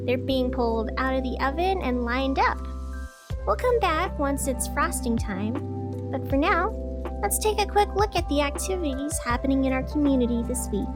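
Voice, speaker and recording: A woman playing an earnest, flustered radio host in a scripted audio drama, chatty and a little hesitant as she bravely soldiers on. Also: sits high. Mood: cheerful announcing. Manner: hesitant, self-reassuring, chatty. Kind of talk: scripted fiction podcast in the form of a community radio broadcast. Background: music under the voice.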